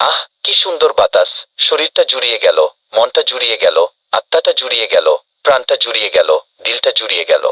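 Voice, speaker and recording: A man voicing a talkative, friendly but anxious rabbit with a husky voice in a children's cartoon. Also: mid-range voice, animated, fast talker, sharp tone, no room sound. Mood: tired relief. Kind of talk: dubbed children's cartoon dialogue.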